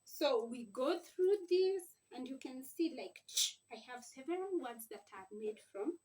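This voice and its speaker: A woman's voice: high voice, expressive, a little slow, a little mellow. A woman speaking as a mother and teacher in an informal instructional vlog, explaining things in simple phrases. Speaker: mother teaching her children to read. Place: at home. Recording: distant mic, faint background noise.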